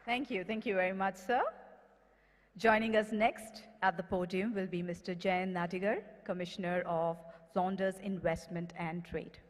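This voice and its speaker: A woman's voice: fairly low pitch, fairly even intonation, moderate pace. A woman in her thirties with an Indian accent, making formal scripted announcements as emcee at a conference podium. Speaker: event emcee. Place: large conference hall, at podium. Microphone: podium gooseneck microphone. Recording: reverberant hall public address.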